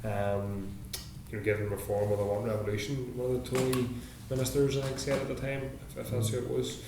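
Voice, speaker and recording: A man's voice: mid-range voice, completely flat delivery, brisk. A man on a discussion panel answering audience questions in a thoughtful, hedging way with plenty of fillers. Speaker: panel speaker. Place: large meeting hall. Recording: echoey hall, background noise.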